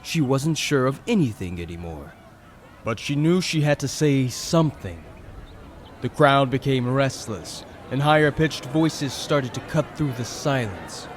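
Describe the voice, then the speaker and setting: A man with a mid-pitched voice narrates a scripted fantasy audio drama. He reads as a dramatic storyteller, with clearly noisy ambience behind him. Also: animated, a little slow.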